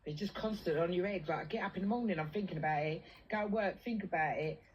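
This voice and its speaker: A woman's voice: fairly low pitch, fast, slight background noise, rough recording. A British woman chatting casually and venting in a blunt, sweary, colloquial way. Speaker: livestream host.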